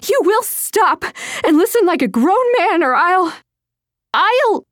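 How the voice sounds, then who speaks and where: A stubborn, fiery young healer woman, as a woman narrator plays her in an audiobook, her voice pushed higher than the narration. She speaks with indignant, strident defiance. The sound is dry and close, with no room at all. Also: expressive, normal speed, a little mellow, dry recording. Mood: furious shouting.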